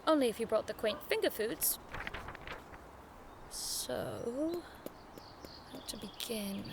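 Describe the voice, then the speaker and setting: An actress playing a prim, sharp-tongued young noblewoman in an audio drama, formal, arch and sarcastic. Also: a little high, lively intonation, takes it slowly, gravelly, background noise, heavily acted. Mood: arch, teasing.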